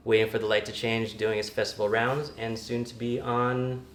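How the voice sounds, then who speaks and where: A man co-hosting a podcast, dry and low-key, hesitating through his introductions. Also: level tone, normal speed. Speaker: podcast co-host. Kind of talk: casual podcast chat. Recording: echoey room, faint noise.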